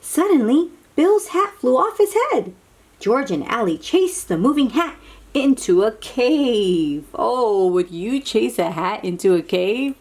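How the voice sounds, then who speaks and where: A woman reading a picture book aloud to young children, dramatically, with asides and questions to the listeners. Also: fairly high pitch. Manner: expressive, drawn-out, dramatic delivery with hushed moments.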